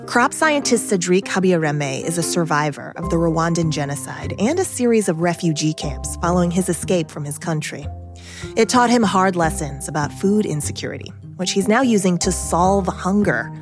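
Lady podcast host reading a smooth intro, slightly low voice, faint music behind. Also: expressive, normal speed. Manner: smooth, upbeat presenter delivery. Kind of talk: scripted intro and ad reads.

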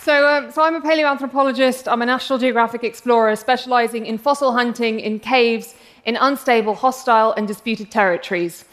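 A woman's voice: a little high, steady tone, brisk, a bit bright, a faint crowd. A young English woman with an English accent giving a prepared public talk, passionate and wry with self-deprecating humor. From England.

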